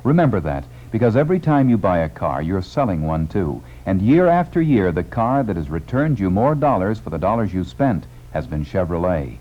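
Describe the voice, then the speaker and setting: A man's voice: low voice, sing-song, moderate pace, hardly any pauses. An American man reading a scripted radio commercial as an announcer. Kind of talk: scripted radio car advertisement. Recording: muffled 1960s radio commercial on tape.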